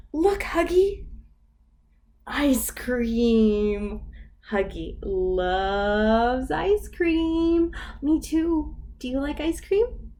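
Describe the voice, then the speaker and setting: Slow, sing-song woman reading to babies. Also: high-pitched, very animated, warm tone. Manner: slow, sing-song and exaggerated, with gasps and whispers. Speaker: children's librarian. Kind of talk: baby storytime reading.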